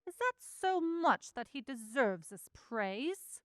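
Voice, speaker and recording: A woman performs a solemn ghostly guide in a radio play. The voice is fairly high and unhurried, with a lively rise and fall. It questions sternly and with measured care, in a very dry sound. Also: no room sound, full character voice. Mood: probing, stern. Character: solemn, probing Ghost of Christmas Past, a spirit. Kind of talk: radio drama acting.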